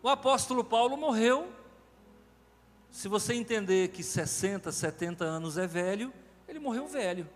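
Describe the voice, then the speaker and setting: A man's voice: sits high, lively intonation, moderate pace. A man with a Brazilian Portuguese accent, preaching an emphatic sermon as pastor to his congregation in a large church. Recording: reverberant church hall with faint music.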